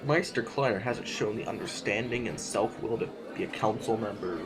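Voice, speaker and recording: A man's voice: fairly low pitch, normal speed, over music, lightly acted, rough recording. A man playing a lordly, dismissive sovereign in an audio drama, with a stately, pompous and formal manner. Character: lordly, dismissive male sovereign calming an anxious subordinate.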